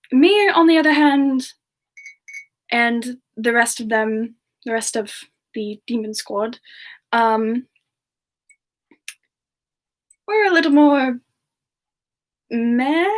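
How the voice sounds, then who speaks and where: A woman voicing a gentle, friendly young siren demon in a semi-ASMR livestream chat, speaking softly and hesitantly with polite warmth toward listeners. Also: fairly high pitch. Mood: thoughtful, wry. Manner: soft-spoken, hesitant, polite, breaking into murmurs. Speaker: livestream host in character.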